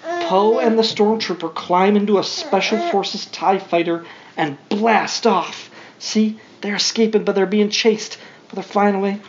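A woman's voice: medium pitch, unhurried. A woman reading a storybook aloud to a young child, as a parent would, with simple asides and questions. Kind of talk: read-along storybook narration with spontaneous asides to a child. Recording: dull home recording with faint noise.

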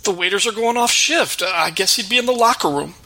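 Male narrator playing a fat, frightened kitchen cook; high, nasal-bright, stammering, spineless. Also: quick pace, full character voice. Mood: panicky.

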